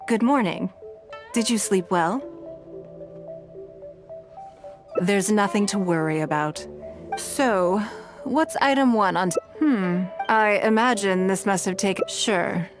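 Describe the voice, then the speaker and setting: A woman's voice: medium pitch, a little slow, music in the background, close to an everyday voice. A woman playing a courteous, driven, wealthy young businesswoman in a video game, speaking with composed, refined phrasing. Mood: polite, calm.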